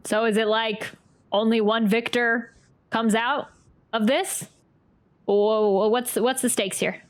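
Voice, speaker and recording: A woman's voice: fairly high pitch, fairly even intonation, slow. A woman streamer reacting casually as she plays a game, often stammering and repeating her words. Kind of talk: casual live playthrough reaction. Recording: game music behind.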